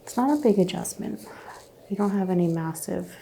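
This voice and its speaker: A mellow-voiced woman speaks softly, often dropping to a whisper, as an ASMR chiropractor. She gives gentle, reassuring instructions in short phrases, with some ambient noise behind her. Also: on the low side, expressive, normal speed, creaky. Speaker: ASMR host playing a chiropractor. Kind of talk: soft-spoken ASMR roleplay, often whispered.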